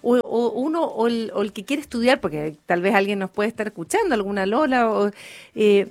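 A woman's voice: on the high side, lively intonation, a little fast, darkish tone. A woman co-hosting a radio show, chatty and laughing often. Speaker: radio co-host. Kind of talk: casual radio chat.

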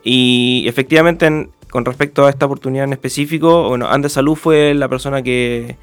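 A young man with a Chilean accent answering interview questions in a polite, measured, explanatory way. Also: medium pitch, fairly even intonation, smooth, faint music behind. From Viña del Mar, Chile. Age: young adult. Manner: polite, measured, explanatory, hedging with set phrases. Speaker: interview guest, clinic commercial manager.

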